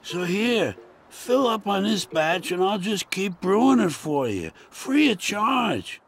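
A man voicing a mellow, spaced-out hippie van in a cartoon game, with a laid-back drawl. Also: sits high, sing-song, takes it slowly, creaky, slightly rough, heavily acted. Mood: musing, generous. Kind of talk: animated game voice acting.

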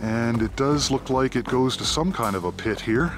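A man with a Canadian accent narrating outdoors in a forest as he explores, pausing often with "uh" and recorded on a handheld camera mic. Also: mid-range voice, music in the background. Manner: thoughtful, pauses often with "uh". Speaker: abandoned mine explorer. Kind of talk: walking narration while exploring.

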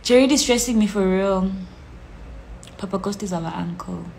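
A woman's voice: mid-range voice, normal speed, creaky, darkish tone, slight background noise, low-quality recording. A young South African woman chatting casually on a phone live stream from a small room, reading out comments with a playful manner that drops into whispers. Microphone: phone at arm's length.

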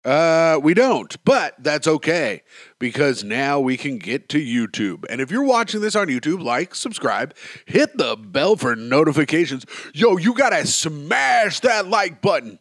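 A man's voice: on the high side, measured pace, no echo, studio quality. Stuffed-up man rambling on a solo podcast. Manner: self-mocking, rambling, deadpan asides. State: stuffed up, sniffling. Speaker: podcast host.

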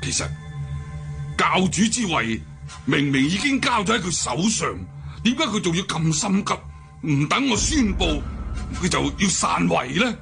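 An actor playing a proud, brooding older deposed martial-arts cult leader in a Hong Kong Cantonese radio drama, declaiming and sighing theatrically. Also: male voice, high voice, sing-song, a little slow, creaky, fairly bright tone, exaggerated voice. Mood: indignant. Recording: music bed behind the voice.

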